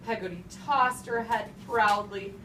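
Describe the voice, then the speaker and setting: A woman teacher reads a storybook to a class and puts questions to the children. She sounds far from the mic in a roomy, clearly noisy space, and often echoes back what pupils say. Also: medium pitch, steady tone, a little slow. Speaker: teacher reading aloud to young children. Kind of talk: interactive storybook read-aloud with class questions.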